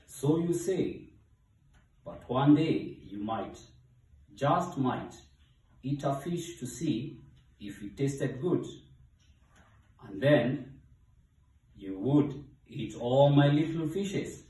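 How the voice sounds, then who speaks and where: Man putting on a booming male god, slow, emphatic, pompous, raised pitch, echoing room. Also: animated, warm tone. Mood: booming suspicion. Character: mighty male god, booming, suspicious, protective. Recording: echoey room, distant from mic.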